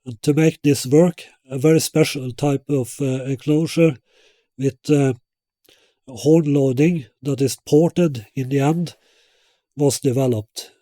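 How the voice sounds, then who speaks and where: Slow, halting man with a mellow voice. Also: mid-range voice, steady tone, no echo, studio quality. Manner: halting, hesitant delivery. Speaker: loudspeaker designer presenting own product. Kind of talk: product presentation with technical explanation.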